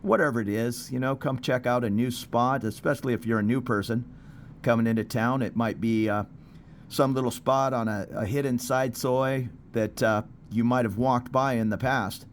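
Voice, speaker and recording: A man's voice: medium pitch, steady tone, normal speed. A man talking casually as he vlogs, rambling and chatty with plenty of fillers, out on a city street. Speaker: expat vlogger. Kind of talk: casual walking vlog. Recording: street traffic noise behind.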